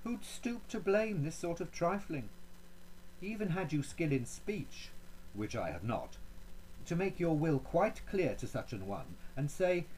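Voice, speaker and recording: A man performs as a proud Renaissance duke in a dramatic poetry recitation. His pitch moves widely through haughty, courtly phrases broken by pointed pauses, with cold menace beneath the politeness. There is faint noise behind the voice. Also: sing-song, darkish tone, low-quality recording. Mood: disdainful, indignant.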